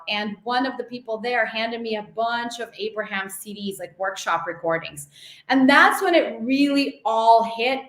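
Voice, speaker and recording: A woman's voice: on the high side, fairly bright tone, roomy sound. A woman in her thirties from Russia, a guest telling her life story in long flowing runs, with frequent laughs. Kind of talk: conversational storytelling.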